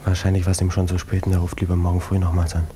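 An actor playing an anxious, impatient young army lieutenant in a radio drama, speaking in an agitated, urgent way. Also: male voice, low-pitched, completely flat delivery, racing, mellow, slight background noise, lightly acted. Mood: worried, puzzled. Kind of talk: German radio drama acting.

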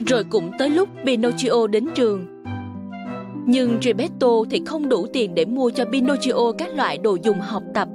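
A woman's voice: a little high, expressive, normal speed, over music. A woman reading scripted narration for a children's fairy tale.

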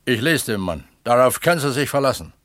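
An older pump attendant in a 1970s radio play. He is proud and plain-spoken, with a mid-pitched voice and terse phrasing, recorded close and dry. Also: sing-song, fairly quick, a bit bright, no room sound. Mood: resigned, stubborn. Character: proud, blunt older male pump attendant and amateur writer. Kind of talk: 1970s East German radio drama acting.

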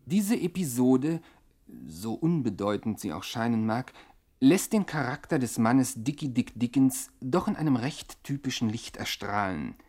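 Husky-voiced radio commentator, mock-scholarly, pompous, tongue-in-cheek, medium pitch. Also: male voice, animated, a little slow, darkish tone. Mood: solemn irony. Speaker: narrator. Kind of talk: scripted mock-documentary radio narration.

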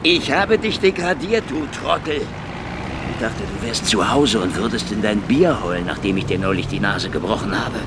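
A man's voice: fairly low pitch, expressive, moderate pace, noisy background. A man playing a hardboiled, cocky Soviet secret police investigator in a radio crime drama, with a sardonic, menacing, taunting manner. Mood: mocking. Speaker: lead actor and first-person narrator.

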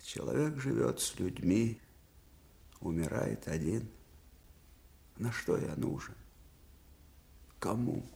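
Brooding old field marshal, creaky, swinging. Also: male voice, lowish voice, lively intonation, dark tone, heavily acted. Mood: weary, brooding. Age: older. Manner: brooding, halting, broken phrases with sudden outbursts. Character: aged, melancholy, eccentric Russian field marshal, devout and proud. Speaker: actor in the lead part. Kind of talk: Soviet radio drama acting.

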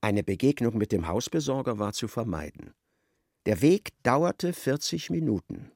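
Literary narrator, expressive and wry, animated intonation, dry close studio sound, radio drama. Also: male voice, mid-range voice, normal speed, creaky, dry recording. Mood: wry, narrating. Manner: expressive storytelling.

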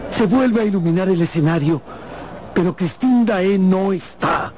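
A man's voice: high voice, measured pace. A man giving dramatic scripted narration for a radio drama. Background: loud music.

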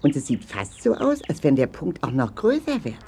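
A woman voicing a clever, cheerful hare in a children's audio play, with a jolly, animated manner. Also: low-pitched, normal speed, slight background noise, exaggerated voice. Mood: amused.

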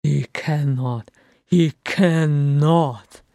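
Proud brooding dwarf king, acted by a narrator: growling, very slow, emphatic, dry sound. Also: medium pitch, fairly even intonation, warm tone, dry recording, close to an everyday voice. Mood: angry, insistent. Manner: growling, emphatic, drawn-out words. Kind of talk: fan fiction audiobook character acting.

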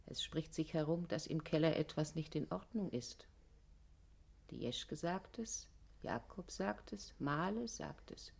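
A controlling woman urging a man on in a radio drama. Her is slow and husky, hushed yet commanding, coaxing with steady insistence. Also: sits low, heavily acted. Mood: knowing, reproachful. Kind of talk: German radio play acting.

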